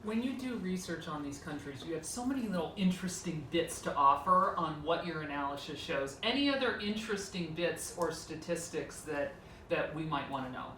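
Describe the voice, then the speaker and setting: A woman's voice: low voice, lively intonation, brisk, a bit bright. Woman panel host, encouraging prompts; roomy, distant, a bit noisy. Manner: encouraging, with brief "mm-hmm" prompts. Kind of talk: moderating a panel. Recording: roomy, from off-mic, with background noise.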